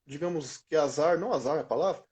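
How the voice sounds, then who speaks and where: A Brazilian man in his thirties talks casually in an interview, with a slightly high voice. His Portuguese is informal and full of slang, told like stories to friends. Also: fast talker, a little mellow. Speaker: former professional footballer being interviewed.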